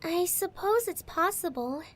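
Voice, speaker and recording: A woman's voice: very high voice, expressive, measured pace. A woman voicing an earnest, careful young schoolgirl in an anime visual novel. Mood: uncertain. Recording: music playing behind the voice.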